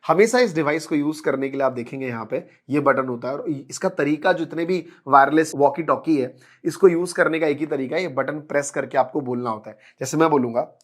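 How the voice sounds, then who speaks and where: Man, Indian accent, slightly high pitch, informal sales pitch, explanatory, persuasive, English words mixed in. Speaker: product presenter and seller.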